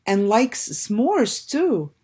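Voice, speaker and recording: A woman voicing a friendly, reassuring father in a children's storybook, gently instructing and encouraging. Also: on the high side, sing-song, extremely slow, husky, exaggerated voice. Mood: playful, firm.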